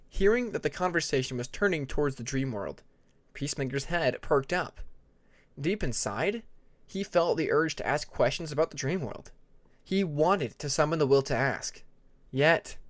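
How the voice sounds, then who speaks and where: Male fiction narrator, slightly high voice, very lively intonation, deliberate dramatic delivery. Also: no echo. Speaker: audiobook narrator.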